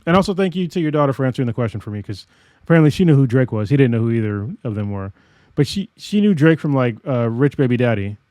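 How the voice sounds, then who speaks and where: A man's voice: mid-range voice, expressive, quick pace, warm tone, dry recording. An American man co-hosting a podcast, telling stories in a rambling way with lots of fillers and laughs. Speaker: podcast co-host. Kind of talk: casual chat.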